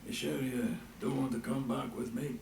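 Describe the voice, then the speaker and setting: Gruff bantering male sidekick for a fantasy game, actor-played: gravelly, folksy, set back in a roomy space. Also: mid-range voice, steady tone, moderate pace, recorded from a distance, noisy background, lightly acted. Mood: teasing. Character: gruff, teasing, loyal male comrade. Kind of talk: fantasy video game dialogue.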